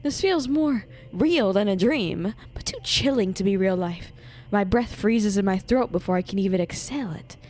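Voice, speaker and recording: Playful, animated woman streaming a game. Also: mid-range voice, moderate pace, few pauses. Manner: jokey, playful, theatrical, swears casually. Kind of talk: live game commentary, reading dialogue aloud. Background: game music.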